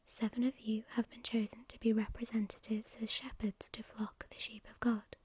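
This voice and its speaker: Solemn male angel messenger, as a woman whispers him, calm and commanding in a breathy, husky hush that sits a little high. He speaks in measured, preaching tones, mellow and soft-edged, right up close in a dry space with no room sound. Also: no room sound. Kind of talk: whispered storytelling.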